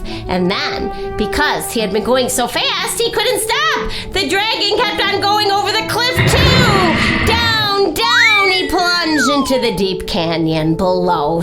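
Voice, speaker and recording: A woman reading a children's story aloud as a library storyteller, with expressive, dramatic emphasis. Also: fairly high pitch. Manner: animated, unhurried, dramatic emphasis. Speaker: library story-time reader.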